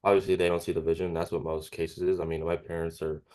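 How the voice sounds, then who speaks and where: A man of about twenty being interviewed, chatting casually and haltingly with frequent tag questions. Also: deep voice, flat delivery, darkish tone. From California, United States. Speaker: interviewee, young cleaning business owner. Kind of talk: casual chat.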